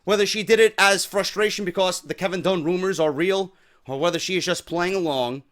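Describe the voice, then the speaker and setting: A man hosting a wrestling news show, giving blunt, profane opinions and getting loud when worked up. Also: high-pitched, brisk. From New York.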